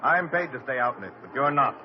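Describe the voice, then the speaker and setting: A man's voice: mid-range voice, animated, fast talker, slight background noise, lightly acted. An actor playing a blunt, sardonic park policeman on night patrol, in the style of 1950s radio drama, on a dull old broadcast recording. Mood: gruff, sardonic.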